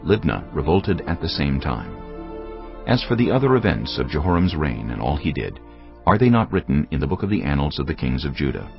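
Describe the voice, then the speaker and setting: A man's voice: rumbling low, sing-song, a little fast, creaky. A man narrating scripture solemnly for a dramatized audio production. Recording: dull lo-fi audio with music.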